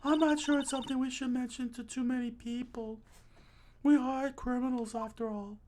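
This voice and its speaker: Man doing a high, girlish young assistant. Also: fairly even intonation, normal speed, a little mellow, a little hiss or hum. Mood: anxious, earnest. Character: chirpy, naive young female legal assistant. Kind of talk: video game character read-aloud.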